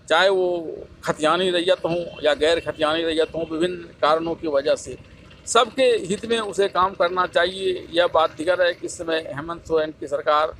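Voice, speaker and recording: A man delivers scripted news commentary as a presenter. His voice is pitched high for a man, and some faint ambient noise sits behind him. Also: fairly bright tone, poor audio. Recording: clear background noise.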